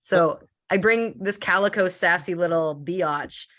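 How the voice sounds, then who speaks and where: A woman from Canada, a podcast guest, talking in a loud, deep voice with lots of filler "likes" and quick restarts. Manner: loud and deep voice by her own account. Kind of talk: casual interview chat.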